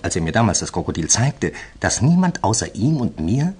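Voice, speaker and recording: An actor playing an anxious, eager merchant in a German radio drama, polite and earnest with halting hesitations. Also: male voice, lowish voice, big pitch swings, a little mellow, husky, exaggerated voice. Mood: agitated, confiding. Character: anxious, eager male merchant seeking a detective's help.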